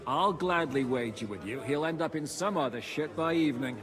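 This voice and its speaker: A man's voice: fairly high pitch, fairly quick, natural voice. Blunt medieval commander played by a man, crowd behind. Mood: sardonic, frank. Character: plain-spoken, cynical medieval military commander. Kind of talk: dramatic video game voice acting.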